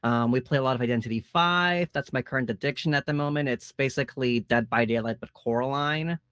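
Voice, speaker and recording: A man's voice: mid-range voice, fairly quick, fairly bright tone, smooth, no echo, clean studio sound. A man born in Mexico and raised in Canada, casually introducing himself as a panelist. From born in Mexico, grew up in Canada from age seven. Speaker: panelist, drag streamer.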